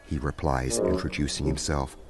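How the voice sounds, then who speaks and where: A man's voice: rumbling low, fairly even intonation, normal speed, gravelly, a loud crowd. A man reading scripted story narration as the narrator of a fantasy tale.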